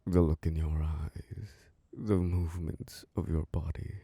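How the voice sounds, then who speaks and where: A man voicing an ancient, charming and possessive male vampire lord who hosts a masquerade, speaking in a slow, seductive, close-mic ASMR roleplay with soft chuckles. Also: fairly even intonation, no room sound, exaggerated voice. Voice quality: low gravelly voice. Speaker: castle host.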